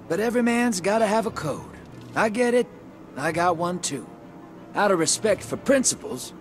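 A grizzled rogue gunslinger from a sci-fi game, drawling and sardonic. His pitch swings wide and lively through every taunt. Game music plays clearly behind him. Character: sly grizzled male gunslinger smuggler, wry and cocky. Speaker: game character. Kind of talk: video game cutscene dialogue.

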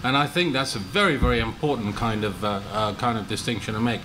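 Older guy on a panel at a table mic, halting, lots of uh and restarts, bit of hiss. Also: on the low side. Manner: hesitant, many restarts and fillers. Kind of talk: academic panel discussion. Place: large meeting room with seated audience. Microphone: table microphone.

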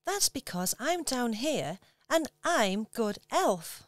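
A prim, cheerful little female elf, voiced by a woman, helpful and good-natured in a radio pantomime. The voice is fairly high and sounds dry and close to the mic. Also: sing-song, slow, dry recording.

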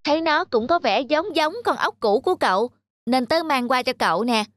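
A woman voicing a young child in a gentle moral cartoon, speaking simply and earnestly. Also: high-pitched, animated, moderate pace, no echo. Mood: friendly. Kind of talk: Vietnamese children's cartoon dubbing.

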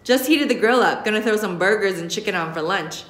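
A woman's voice: medium pitch, expressive, fairly quick, fairly bright tone, natural voice. A woman reading as a cocky, playful uncle, echoey. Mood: affectionate, easygoing. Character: jovial, boastful uncle grilling, teasing his sister. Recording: roomy classroom echo.

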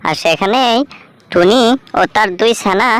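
A woman's voice: medium pitch, measured pace, no echo. A woman narrating a scripted children's story as a storyteller.

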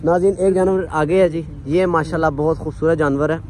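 A man's voice: a little high, fairly even intonation, fairly quick, darkish tone, slight background noise. A man interviewing a cattle seller outdoors at a market, repeating the prices for his viewers. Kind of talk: on-location interview.

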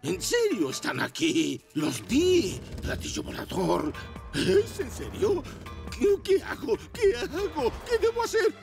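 An actor voicing an excitable, easily panicked motorbike in a cartoon dub, full of gasps and exclamations. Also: high-pitched, takes it slowly, gravelly, full character voice. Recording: music behind the voice.

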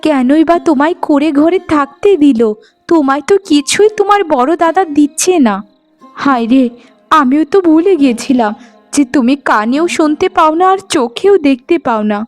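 A woman plays a devoted young bride in a cartoon folk tale. The voice is extremely high, earnest and plaintive, with soft music behind it. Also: expressive, normal speed. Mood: anguished. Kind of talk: cartoon storytelling dialogue.

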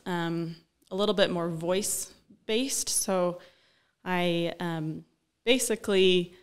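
A young woman, a speech-language pathologist, explaining her work in a relaxed interview, often pausing with "um," recorded on a studio desk mic. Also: fairly low pitch, smooth. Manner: explanatory, thoughtful, frequent um fillers. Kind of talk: conversational interview. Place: small studio office. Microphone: desk-mounted broadcast mic.

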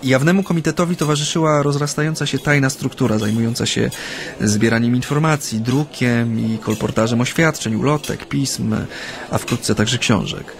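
An actor playing an earnest Japanese man recalling his activist past in a radio play, with a reflective, halting delivery. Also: mid-range voice, fairly even intonation, gravelly, natural voice. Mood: earnest recounting. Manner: hesitant, reflective, with frequent hums and pauses. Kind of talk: radio drama, conversational recollection.

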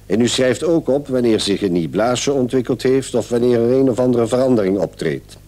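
Brisk bossy male doctor played by an actor, soft dark husky tone, swingy delivery, radio drama. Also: mid-range voice, animated, lightly acted. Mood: brisk, instructive. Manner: authoritative, patronising. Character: brisk, paternal male doctor, dismissive yet reassuring.

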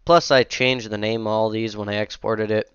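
A man's voice: lowish voice, level tone, normal speed, clear, resonant tone, no room sound, highs cut off. Sniffly young man with a cold. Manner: rambling, self-correcting, many fillers. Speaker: modding streamer. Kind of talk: casual commentary while editing a map. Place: home room at a computer. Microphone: headset.

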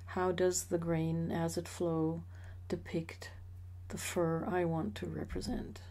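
A middle-aged woman narrating a slideshow about her woodworking hobby in a measured, explanatory way with occasional fillers. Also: fairly low pitch, warm tone. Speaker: associate professor sharing a hobby.

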